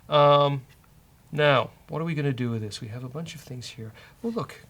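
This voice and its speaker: A man's voice: medium pitch, normal speed, fairly bright tone. A man hosting a casual live coding walkthrough, thinking aloud and joking as he works. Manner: joking, bantering with co-host. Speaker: host of a programming show, coding live.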